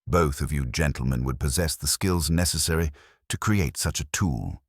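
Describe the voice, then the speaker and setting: A man's voice: rumbling low, normal speed, no echo, studio quality. A man voicing a shrewd, understated English police inspector in a mystery audiobook, conversational yet formal. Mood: calm, probing.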